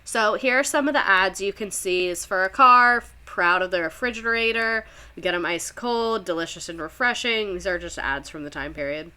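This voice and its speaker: Bright-voiced chatty woman teacher, Southern accent. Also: medium pitch, normal speed, clear, resonant tone. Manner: chatty, rambling, full of "like" and laughter. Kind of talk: casual history lesson on a livestream.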